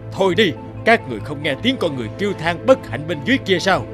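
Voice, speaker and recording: A man's voice: fairly high pitch, very animated, quick pace, music in the background. A man voicing a stern old cartoon god with a grand, admonishing manner. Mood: scolding. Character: stern old bearded supreme god, commanding, scolding. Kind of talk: animated fable dialogue.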